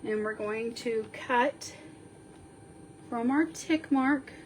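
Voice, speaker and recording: Slow-paced woman teaching card crafting. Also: a little high, slight background noise. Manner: slow, chatty, talks while working, counting measurements aloud. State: sniffling. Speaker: card-making instructor. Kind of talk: step-by-step crafting tutorial.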